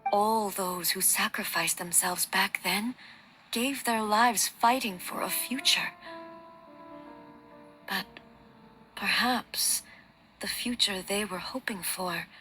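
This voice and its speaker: Regal female warrior god, bright forceful tone, formal and grave, measured, game dialogue over music. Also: medium pitch. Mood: reflective, regretful. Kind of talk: video game voice acting. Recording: music behind the voice.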